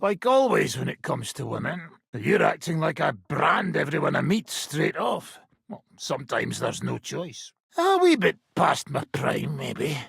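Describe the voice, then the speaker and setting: An actor playing a gruff, brash, middle-aged medieval mercenary nobleman in a video game, with a raspy, gravelly voice. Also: a little high, huge pitch swings, unhurried, fairly bright tone, no echo, full character voice. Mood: sardonic, gruff. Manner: brash, sardonic, swearing.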